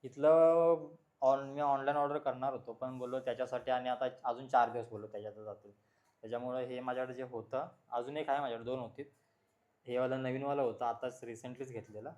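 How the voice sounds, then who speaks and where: A man's voice: mid-range voice, steady tone, a little fast, a little mellow, dull audio. A man talks informally and repetitively to his viewers, explaining as he shows things, like a vlog host. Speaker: vlogger, motorcycle channel host. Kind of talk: casual product showcase chat to viewers.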